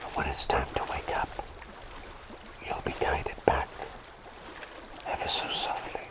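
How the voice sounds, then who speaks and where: A man playing a gentle, doting plague doctor in an ASMR roleplay, speaking in soothing, slightly formal, breathy whispers. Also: unhurried, lightly acted. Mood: soothing, lulling. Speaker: ASMR performer.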